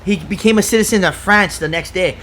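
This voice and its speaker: A man from Hawaii talking in a casual podcast chat, excitable and profane, rambling from one point to the next. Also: high voice, fairly quick, a loud crowd. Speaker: podcast guest.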